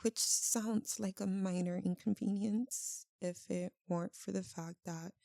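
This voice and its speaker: A woman playing an anguished, tender, guilt-ridden vampire lover in an ASMR roleplay, speaking in a halting, intimate, pleading way. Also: mid-range voice, steady tone, vocal fry, warm tone, no echo, studio quality. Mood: grave, resigned.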